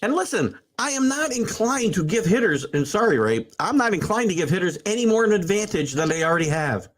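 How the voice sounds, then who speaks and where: A man's voice: high-pitched, brisk. A man in his sixties hosting a sports talk stream, rambling with many fillers and restarts, then building into emphatic rants as he answers viewer comments. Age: 60s. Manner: animated, rising into emphatic rants. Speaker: sports talk show host. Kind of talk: livestream chat reading viewer comments.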